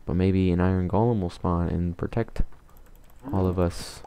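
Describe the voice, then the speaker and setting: A man calmly talking to himself while playing a game, in a soothing, mumbling way. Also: low voice, normal speed, clean tone. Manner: calm, mumbling, self-talking. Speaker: gamer. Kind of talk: casual let's play commentary.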